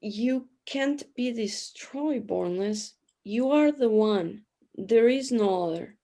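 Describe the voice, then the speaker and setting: Woman acting as solemn female companion, highish mellow voice, slow, calm, deliberate, sci-fi radio play. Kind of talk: audio drama dialogue.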